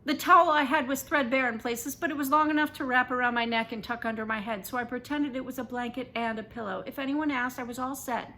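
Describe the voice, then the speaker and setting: A woman reading a first-person children's story aloud in an expressive, dramatic and emphatic way. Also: sits high, quick pace, few pauses. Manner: animated, dramatic, emphatic delivery.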